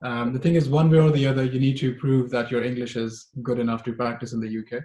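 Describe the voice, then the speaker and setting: A man on a webinar panel, giving casual advice as a doctor, quick and repeating words as he thinks. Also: mid-range voice, level tone, warm tone, reverberant. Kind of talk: casual advice on a video call.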